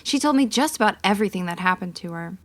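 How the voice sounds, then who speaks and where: A woman's voice: medium pitch, animated, fast, lightly acted. Woman playing a gossipy 1950s American housewife. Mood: earnest, chatty. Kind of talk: 1950s radio drama acting.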